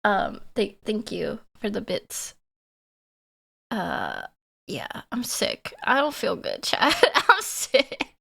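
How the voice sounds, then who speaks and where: A young woman streamer chatting casually and playfully, giggling and teasing herself, her voice hoarse and stuffy from a cold. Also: mid-range voice, huge pitch swings, takes it slowly, fairly bright tone. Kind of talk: casual live-stream chat.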